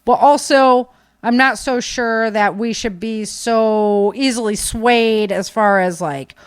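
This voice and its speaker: A woman hosting a podcast, speaking informally into a close microphone with animated emphasis. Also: a little high, slow, smooth, no room sound. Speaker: podcast host. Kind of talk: casual podcast talk.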